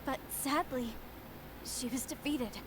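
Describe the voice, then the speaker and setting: Young female zealot for a game: high voice, earnest, insistent, noisy capture. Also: slow. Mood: excited, fervent. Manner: dramatic, insistent, fervent. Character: earnest young woman, fervent devotee of a fallen god. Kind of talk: video game voice acting.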